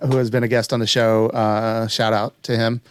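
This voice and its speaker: A man's voice: on the low side, little pitch movement, moderate pace, clean tone, dry recording. A man co-hosting a podcast, chatting casually about shows in a conversational way. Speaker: podcast co-host.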